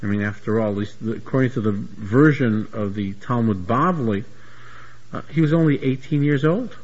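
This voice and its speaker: Husky man giving a Talmud lecture. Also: mid-range voice, normal speed, darkish tone, highs cut off. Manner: searching restarts, repeats words while explaining. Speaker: Talmud teacher.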